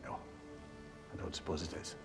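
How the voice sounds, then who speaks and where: An actor with a Scottish accent playing a wise, candid old companion in a video game, wry and avuncular. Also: male voice, normal speed, natural voice. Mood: frank, concerned. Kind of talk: cinematic video game acting. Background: cinematic music.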